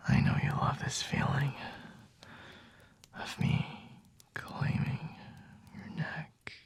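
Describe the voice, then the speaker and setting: A man playing a flirty, possessive vampire in an intimate ASMR roleplay, whispering slowly and teasingly in a breathy, husky voice. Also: dry recording, close to an everyday voice. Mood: seductive, soothing.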